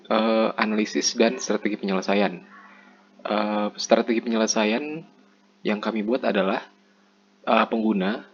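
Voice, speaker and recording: Low-voiced young man, muffled recording. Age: young adult. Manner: informal, repetitive step-by-step explaining. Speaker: student presenter. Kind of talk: explanatory slide presentation. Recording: muffled lo-fi mic with background noise.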